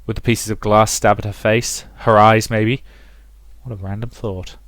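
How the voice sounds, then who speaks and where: A man's voice: on the low side, little pitch movement, normal speed, a bit bright, dry recording. A man hosting a let's play, reading a visual novel as dramatic, rhythmic narration with some commentary. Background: music.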